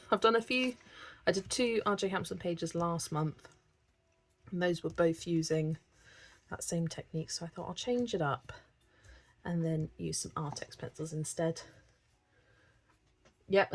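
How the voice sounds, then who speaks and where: A woman with a slightly hoarse voice hosts a live stream, greeting viewers by name and chatting casually while she colours, often laughing. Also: lowish voice. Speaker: livestream host.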